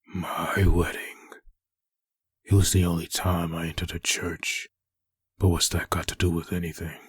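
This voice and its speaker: A man playing a brooding, lovesick husband haunted by memories, in a husky voice for a dramatic comic dub. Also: sits low, level tone, a little slow, no room sound. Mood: brooding, resigned. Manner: brooding inner monologue.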